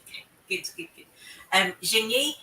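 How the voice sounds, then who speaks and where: A woman's voice: a little high, lively intonation, moderate pace, sharp tone. A woman moderating a live panel Q&A in a chatty, encouraging way, often repeating her words. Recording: echoey room, distant mic.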